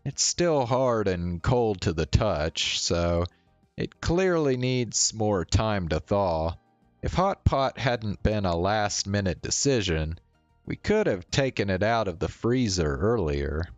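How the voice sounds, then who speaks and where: A streamer narrating a visual novel aloud in a measured, storybook-like reading. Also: deep voice, big pitch swings. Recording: roomy sound, faint music behind. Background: faint game music.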